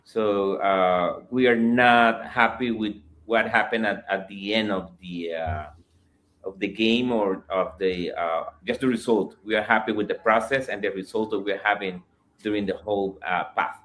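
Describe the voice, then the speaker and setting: A young Salvadoran man, a Spanish-speaking missionary, answering interview questions carefully with frequent pauses and fillers. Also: fairly low pitch, fairly even intonation, a bit bright. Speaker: missionary guest.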